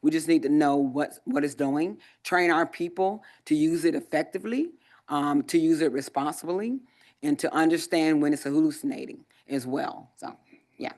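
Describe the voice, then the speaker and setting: A man presenting at a conference, answering audience questions in a measured way with frequent pauses and ums. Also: a little high, a little mellow. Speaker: conference presenter, Air Force technology leader.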